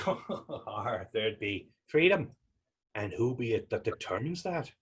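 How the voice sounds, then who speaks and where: A man playing a taunting, defiant Irishman in a readers theater drama, with a husky voice, an Irish accent and a mocking, wordy old-fashioned way of talking. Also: mid-range voice, big pitch swings, slow, exaggerated voice. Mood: sly, feigned innocence. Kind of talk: readers theater stage acting.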